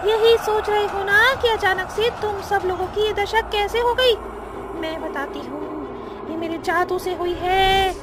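A woman's voice: very high voice, fairly even intonation, measured pace, background noise. A woman voicing a boastful, taunting, cackling evil witch in a Hindi cartoon horror story. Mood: gloating. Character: gloating evil female witch who loves killing.

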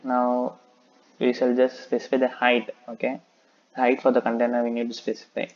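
Flat-voiced man narrating a coding tutorial. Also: medium pitch, moderate pace, muffled recording. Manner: methodical, steady, thinking aloud, frequent "okay". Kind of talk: screen-recorded coding tutorial.

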